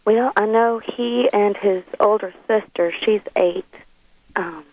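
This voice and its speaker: A woman's voice: medium pitch, slow, no room sound. A young adult woman talking casually as a caller, a mother describing things over the telephone. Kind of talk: casual phone conversation. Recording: dull lo-fi telephone line.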